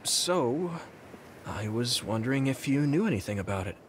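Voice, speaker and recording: An actor playing an earnest, polite, slightly awkward young soldier in an anime-style video game, speaking hesitantly. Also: male voice, medium pitch, sing-song, moderate pace, a little hiss or hum, lightly acted.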